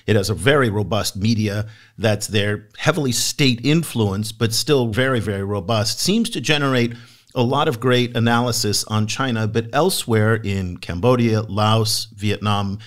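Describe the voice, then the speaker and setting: A man's voice: on the low side, moderate pace. A man hosting a podcast on world affairs, introducing a guest with enthusiasm and asking interview questions. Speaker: podcast host.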